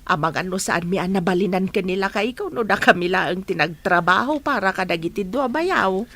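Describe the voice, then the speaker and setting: A woman's voice: medium pitch, animated, a little fast, fairly bright tone. A woman acting a practical village mother in a radio drama, with an Ilocano Filipino accent. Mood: pleased. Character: practical village mother urging her grown children on.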